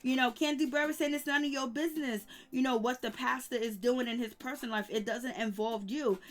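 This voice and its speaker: Woman, YouTube gossip commentator, fairly high voice, loose rambling delivery, tuts and hums along the way. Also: fairly even intonation, brisk. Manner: chatty, rambling with many "you know" fillers; hums disapproving "mm, mm" asides. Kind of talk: casual gossip recap.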